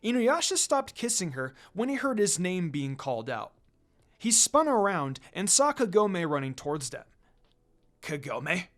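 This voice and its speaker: An amateur story reader narrates dramatically. The delivery has a mid-range pitch that rises and falls widely. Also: big pitch swings, moderate pace.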